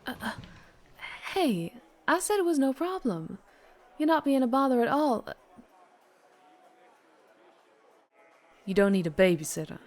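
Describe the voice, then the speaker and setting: A woman's voice: high-pitched, very animated, normal speed, darkish tone. A woman playing a flirtatious, doting but fierce vampire biker in an ASMR roleplay, with a Southern US accent and a teasing manner. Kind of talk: ASMR roleplay, intimate character monologue.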